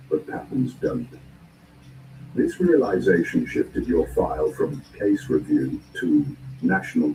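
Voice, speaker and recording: A man delivering a scripted spoken-word motivational narration in deliberate, measured phrases and short emphatic statements. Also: deep voice. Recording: roomy, distant, clearly noisy recording.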